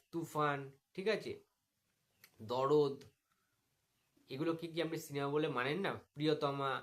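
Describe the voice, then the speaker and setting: A man's voice: on the high side, a bit bright. A man with a Bengali accent delivering an indignant, mocking rant as a video commentator, full of rhetorical questions. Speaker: YouTube commentator making a reply video. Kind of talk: opinionated rant addressed to the camera.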